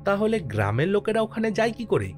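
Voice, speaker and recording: A man's voice: sits high, very animated, fast talker, a little mellow, faint music behind. An actor playing a jittery postmaster who narrates his own haunting in a suspenseful, theatrical horror cartoon style. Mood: doubting.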